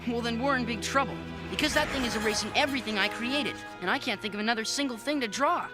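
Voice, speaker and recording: A woman voicing a self-doubting young boy superhero in an animated cartoon. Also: fairly high pitch, quick pace, close to an everyday voice. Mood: dejected. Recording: music under the voice.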